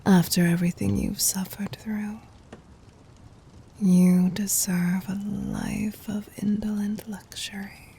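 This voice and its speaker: A woman playing a tender, possessive demon queen in an intimate ASMR roleplay, speaking in a soft breathy whisper with regal, formal endearments. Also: medium pitch, little pitch movement, slow pace, slight background noise. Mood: tender, seductive.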